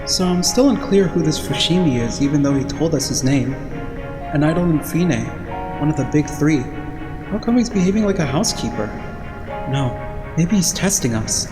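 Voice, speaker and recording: A man's voice: fairly high pitch, lively intonation, moderate pace, over music, lightly acted. A man playing an earnest, naive young male idol in an anime-style fan dub, serious, literal-minded and pondering. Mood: puzzled, analytical.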